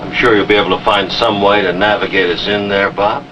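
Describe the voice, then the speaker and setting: A confident American submarine commander acted by a man speaks in a low voice with brisk authority. The 1950s war drama sound is very noisy and distant. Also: fairly even intonation, dull audio, close to an everyday voice. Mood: calm, confident.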